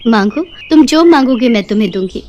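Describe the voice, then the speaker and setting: A woman voicing a kind, grateful fairy in an animated moral story, speaking in an earnest, pleading and explanatory way. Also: fairly high pitch, fairly quick, a little hiss or hum, natural voice. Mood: grateful, gracious.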